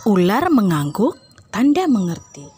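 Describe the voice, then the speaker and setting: A woman narrating a children's fable as an expressive storyteller. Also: mid-range voice, measured pace, breathy edge, faint music behind.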